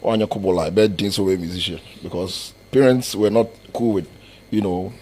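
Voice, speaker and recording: A man hosting a casual interview. His voice sits fairly low, and he keeps the talk moving with quick encouraging interjections. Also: a little mellow. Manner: frequent short encouraging backchannels.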